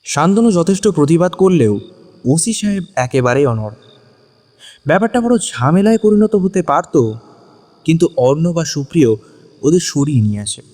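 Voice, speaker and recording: A man's voice: fairly high pitch, very animated, normal speed, long pauses, mellow. A man narrating a scripted suspense story dramatically in Bengali. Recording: long reverberant hall echo.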